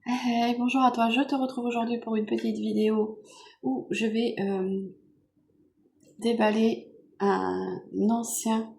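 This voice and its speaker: A French woman with a cold, hoarse and nasal, chatting softly and rambling with many fillers as she unboxes gifts at home. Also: a little high, flat delivery, unhurried, background noise. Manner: soft-spoken, meandering with many fillers. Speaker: vlogger. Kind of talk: casual chat unboxing makeup gifts.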